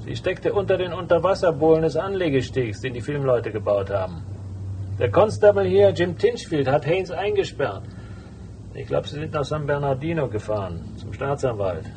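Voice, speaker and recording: An actor playing a dry, laconic, world-weary private detective in a radio drama, with a gravelly voice. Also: male voice, on the high side, expressive, normal speed, darkish tone, slight background noise. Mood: terse, insistent. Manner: laconic, sardonic. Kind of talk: radio drama dialogue and first-person narration.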